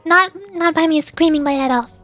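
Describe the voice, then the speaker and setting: High-voiced woman chatting casually on stream. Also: a little fast, fairly bright tone, soft background music. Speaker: VTuber livestreamer. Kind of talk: casual livestream chat answering viewers.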